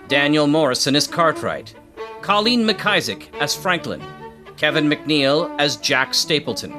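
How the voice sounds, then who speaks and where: Male announcer over fiddle music. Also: a little high. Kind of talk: scripted credits announcement.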